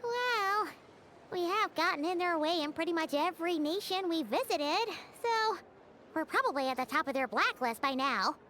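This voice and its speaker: A woman voicing a tiny, chirpy fairy companion in a video game, childlike and squeaky, perky and chatty. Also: lively intonation, moderate pace, pushed and bright, a little hiss or hum, heavily acted. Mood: grumbling. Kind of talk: video game character acting.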